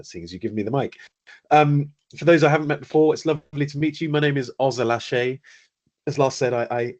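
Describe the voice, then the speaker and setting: Husky low-voiced British man, measured. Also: a little mellow. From United Kingdom. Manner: articulate, measured, dry understated humour. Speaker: company founder, guest. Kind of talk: webinar interview answers.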